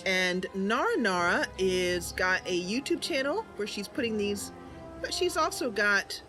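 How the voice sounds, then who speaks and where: A woman's voice: medium pitch, animated, measured pace, bright, forceful tone. A woman doing casual, chatty and enthusiastic commentary as she streams a game. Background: faint music.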